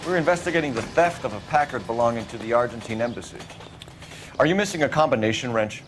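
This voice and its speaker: An actor playing a brisk, authoritative 1940s American police detective in a crime game. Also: male voice, medium pitch, animated, soft background music, close to an everyday voice. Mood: businesslike. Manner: clipped, official questioning. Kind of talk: dramatic game acting, period crime story.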